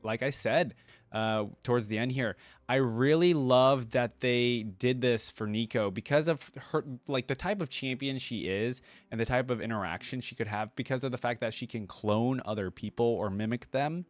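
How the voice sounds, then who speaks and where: A man's voice: on the low side, no room sound. A man giving casual reaction commentary as host, talking about a game character's voice lines.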